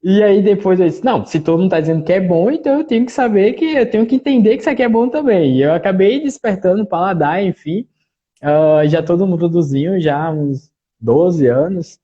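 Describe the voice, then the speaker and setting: A man with a mix of Bahian and southern Gaúcho accents, talking as a winemaker guest in a relaxed live interview, often tagging "né". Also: sits high, brisk, husky, highs cut off. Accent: mixed Bahian and Gaúcho Brazilian Portuguese. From Salvador, Bahia, Brazil. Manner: relaxed, talkative, frequent "né" tags.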